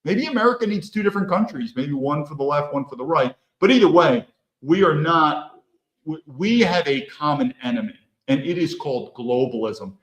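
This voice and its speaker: A man's voice: fairly high pitch, lively intonation, normal speed. A man hosting his own channel, talking through a commentary monologue in a blunt, opinionated way and firing off rhetorical questions. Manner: rhetorical, peppers talk with questions. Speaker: host. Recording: echoey room.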